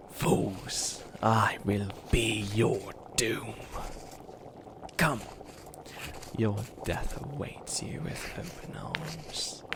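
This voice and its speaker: Guy doing a slow creaky evil witch villain, breathy n theatrical but kinda bumbling, asmr roleplay, noisy background. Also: mid-range voice. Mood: grandiose menace. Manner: theatrical villain declarations breaking into rambling, self-doubting asides. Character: comedic evil witch villain, grandiose yet bumbling and fussy. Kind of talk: comedic ASMR character roleplay.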